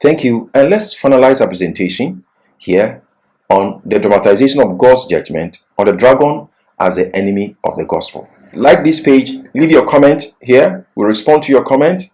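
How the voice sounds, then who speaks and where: Man, bible teacher, earnest and deliberate, pitch swings a lot as he stresses points. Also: lively intonation, a little mellow. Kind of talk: religious lesson, scripted exposition.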